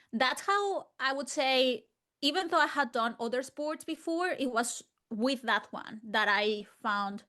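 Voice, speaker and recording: A woman's voice: on the high side, fairly bright tone. Chatty woman. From Mexico. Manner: chatty and enthusiastic, with laughs and restarts. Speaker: guest sports nutritionist. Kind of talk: casual interview answers.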